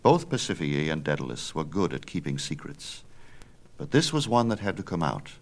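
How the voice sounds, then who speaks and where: A man narrating a Greek myth as dramatic, measured audiobook storytelling. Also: a bass voice, sing-song.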